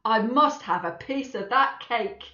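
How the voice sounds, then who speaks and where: A woman's voice: a little high, measured pace, sharp tone. Lady doing a bossy mummy elephant from a kids book, stern n emphatic, roomy slightly dull sound. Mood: exasperated. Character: stern, bossy plump mother elephant, exasperated but affectionate. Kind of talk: children's storybook read-aloud.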